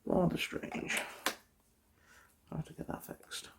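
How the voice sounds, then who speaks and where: A soft, breathy ASMR performer playing an optician, murmuring in a hesitant, reassuring way and often drifting into a whisper. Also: takes it slowly, a little hiss or hum.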